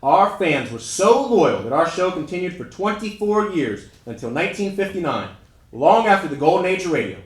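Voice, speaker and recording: A man's voice: sits high, very animated, normal speed. A man playing a radio comedy pioneer in a scripted stage monologue, recalling his career with emphatic, recited delivery. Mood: proud, nostalgic. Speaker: presenter in a dramatic reading. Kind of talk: first-person scripted historical monologue. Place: indoor room at a live event. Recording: roomy, echoey live hall recording.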